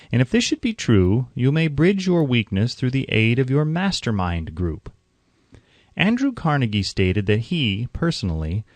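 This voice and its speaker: A man reading scripted audiobook narration in a formal, measured and emphatic way. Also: medium pitch, darkish tone, dry recording.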